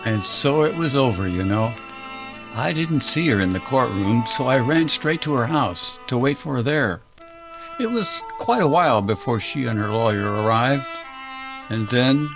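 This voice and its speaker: A man plays a reflective radio drama storyteller in 1940s style. His voice is creaky and animated, set over prominent violin music. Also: mid-range voice, rough recording. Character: male storyteller recalling events wistfully. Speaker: narrator character. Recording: loud violin music behind.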